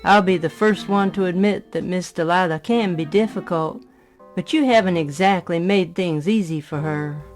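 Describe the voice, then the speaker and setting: A woman playing a frank, patient maid in an audio drama, measured and plain-spoken. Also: fairly low pitch, a little mellow, faint music behind, lightly acted. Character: frank, patient maid, quietly sharp-tongued but kind.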